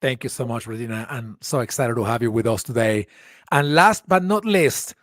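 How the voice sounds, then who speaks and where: A man from Venezuela moderating a casual online panel over a video call, chatty and quick to laugh. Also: medium pitch, fairly bright tone, dry recording, studio quality. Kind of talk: casual webinar hosting.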